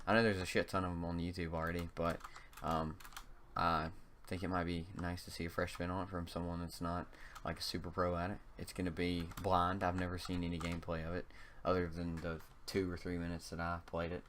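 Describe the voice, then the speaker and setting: A young man from North Carolina with a slight Southern accent talks through a game in a deep, low voice. He speaks haltingly and fills his pauses with um and uh. Faint keyboard clicks and typing can be heard behind him. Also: completely flat delivery, fairly bright tone, a little hiss or hum. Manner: halting, heavy on um and uh fillers. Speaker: gaming channel host. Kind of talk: casual gameplay commentary.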